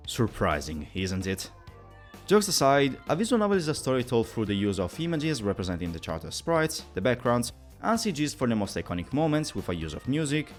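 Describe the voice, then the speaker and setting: A man giving a scripted, lecture-style explainer as a video presenter, with wry, teacherly asides. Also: mid-range voice.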